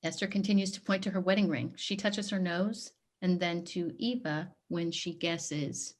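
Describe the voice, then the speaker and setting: A woman's voice: fairly low pitch, moderate pace. A woman hosting a play reading and narrating scripted stage directions. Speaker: host and stage direction reader.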